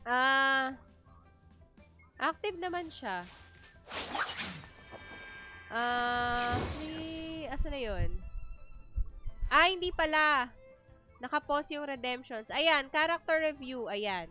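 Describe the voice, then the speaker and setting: A woman's voice: sits high, expressive, slow, long pauses, sharp tone, faint music behind. A woman with a Filipino accent hosting a game livestream, chatting casually and thinking aloud as she switches between two languages.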